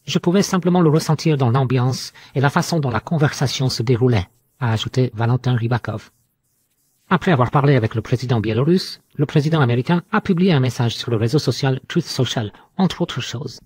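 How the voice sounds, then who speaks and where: Husky male news reader, dry sound. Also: mid-range voice, brisk, a little mellow, no echo. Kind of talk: scripted news narration.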